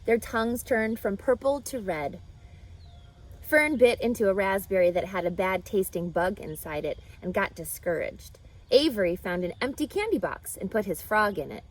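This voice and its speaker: Animated woman reading a children's story. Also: mid-range voice, moderate pace. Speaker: reader. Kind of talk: reading a children's book aloud.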